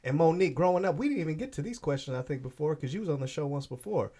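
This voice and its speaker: A man hosting a casual music interview, energetic and full of slang. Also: on the high side, racing, husky. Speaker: interview host.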